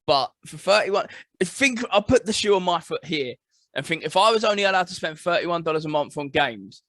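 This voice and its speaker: A man co-hosting a casual gaming podcast, agreeable and chatty, quick to laugh. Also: a little high, lively intonation, pushed and bright, no room sound, clean studio sound. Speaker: podcast co-host.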